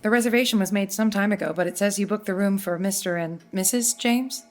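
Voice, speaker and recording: An actress playing a polite, chatty widowed innkeeper in an audio drama, courteous and slightly hesitant. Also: female voice, medium pitch, brisk, slight background noise, lightly acted. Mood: polite, accommodating. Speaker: guest house keeper.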